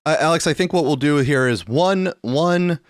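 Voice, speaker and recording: A man's voice: on the high side, moderate pace, dry recording, clean studio sound. A man hosting a casual talk show, speaking hesitantly in stammering, roundabout phrases. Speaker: podcast host. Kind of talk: casual chat.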